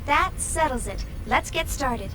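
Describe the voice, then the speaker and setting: Confident high-voiced young woman scientist. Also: animated, unhurried, sharp tone, full character voice. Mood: breezy determined. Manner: breezy, self-assured. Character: confident, headstrong young female chief scientist. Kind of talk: English video game dub. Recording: noisy game soundtrack ambience.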